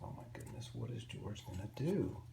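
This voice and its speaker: A man reading a picture book aloud to young children in an expressive, simple storytelling way. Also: fairly low pitch, moderate pace, gravelly, whispered. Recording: roomy echo with faint background noise.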